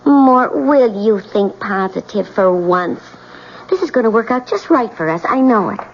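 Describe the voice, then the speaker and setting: An actress playing a scheming wife in a 1970s radio drama, sweetly coaxing and sly. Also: female voice, a little high, animated, a little slow. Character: scheming, coaxing wife conning her gullible husband. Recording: muffled old radio recording, faint noise.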